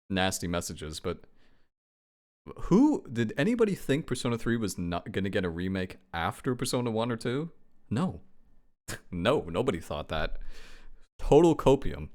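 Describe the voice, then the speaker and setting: A man's voice: fairly low pitch, sing-song, normal speed. A man giving casual, rambling, off-the-cuff reaction commentary on video games, thinking aloud and speaking frankly. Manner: rambling, self-questioning, frank with some swearing.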